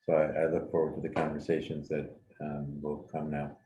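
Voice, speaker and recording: Very deep-voiced man in a roomy echo. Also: completely flat delivery, brisk, dark tone. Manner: hesitant, with frequent ums. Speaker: physician panelist. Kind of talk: video call introduction.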